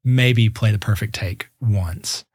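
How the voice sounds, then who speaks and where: A man's voice: lowish voice, slow pace, darkish tone, husky, no echo. A man in his twenties, a musician guest in a casual interview, talking earnestly and reflectively with frequent restarts. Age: 20s.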